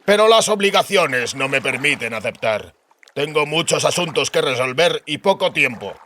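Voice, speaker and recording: A man's voice: a little high, lively intonation, normal speed, pushed and bright, a little hiss or hum, pitched above the performer's own voice. A man voicing a sardonic spymaster count in a dramatized fantasy audiobook, speaking with cool, formal politeness. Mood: coolly polite.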